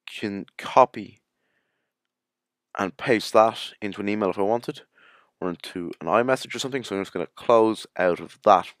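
Low-pitched man narrating a software tutorial. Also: normal speed, no room sound, clean studio sound. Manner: informal, explanatory, step-by-step. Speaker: tutorial presenter.

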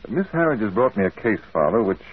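An actor playing a genial, businesslike private detective in an old radio drama. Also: male voice, lowish voice, sing-song, quick pace, husky. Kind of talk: 1940s radio drama acting. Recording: muffled old radio broadcast.

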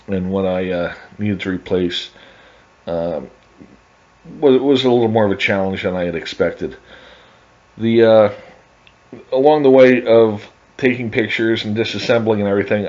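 A middle-aged man narrating his own restoration project over photos in a casual, halting way, with many fillers and restarts. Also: on the low side, darkish tone. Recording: dull lo-fi voice-over.

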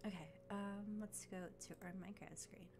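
A woman chats through a live game stream, her voice a little raspy from a coming cold. She rambles with fillers and thanks followers as they come in. Faint computer noise and keyboard clicks sit behind her. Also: medium pitch, fairly even intonation, normal speed. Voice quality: slightly raspy. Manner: chatty, thanks followers, thinks aloud with fillers. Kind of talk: casual live-stream chat.

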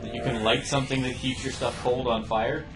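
A man's voice: mid-range voice, flat delivery, brisk, fairly bright tone, reverberant, soft background music. A man playing a game while chatting, blunt and dismissive.

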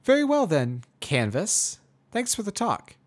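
A man's voice: sits high, huge pitch swings, unhurried, pitched above the performer's own voice, exaggerated voice. A man voicing a polite, inquisitive female pony in a storybook reading, with a lilting, emphatic manner.

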